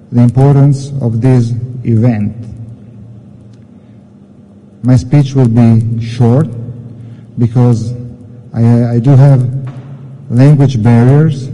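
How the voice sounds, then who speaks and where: A man with an Italian accent speaks slowly and haltingly in a very soft-edged, dark tone. He is a military commander giving a formal address at a podium, and his voice rings through a large hall. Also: medium pitch, slight background noise. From Italy. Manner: halting, repeats words. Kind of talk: formal ceremonial speech in halting English. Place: large indoor ceremony hall. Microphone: podium microphone. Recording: reverberant hall sound.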